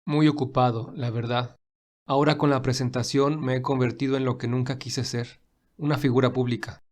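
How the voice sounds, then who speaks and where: A man playing a gentle, caring gentleman in love in a dramatized romantic story, speaking in a sincere, measured, polite way. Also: mid-range voice, little pitch movement, lightly acted. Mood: wistful, sincere. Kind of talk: dramatized romantic fan fiction.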